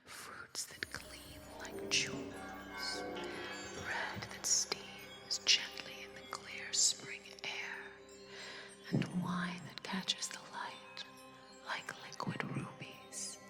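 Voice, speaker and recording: A woman whispering a story in a soft-spoken, hushed and deliberate ASMR style, close to a binaural microphone. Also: fairly low pitch, completely flat delivery. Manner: slow, hushed, deliberate delivery with careful pauses. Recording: long reverb over music bed. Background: music.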